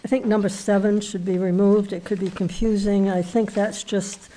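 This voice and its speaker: A woman with a slightly raspy, mellow, dark voice leads a small-town planning board meeting. She talks things through in plain terms, stopping and repeating words as she finds them. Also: mid-range voice, little pitch movement, normal speed, low-quality recording. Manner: hesitant, repeats words while thinking. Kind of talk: municipal meeting discussion.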